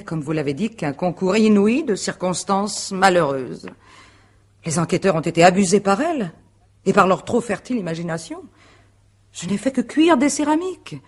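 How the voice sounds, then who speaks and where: A woman's voice: fairly low pitch, slightly rough. Composed, proud woman suspect. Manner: measured, formal, articulate. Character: composed married woman under interrogation, proud and defiant. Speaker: actress in a radio drama. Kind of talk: French radio mystery drama acting.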